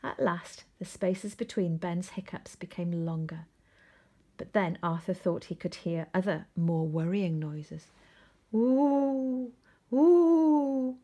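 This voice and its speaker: A woman reading a children's picture book aloud in an expressive storytelling style. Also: fairly low pitch, a little slow, husky. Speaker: storybook reader. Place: indoors at home, seated.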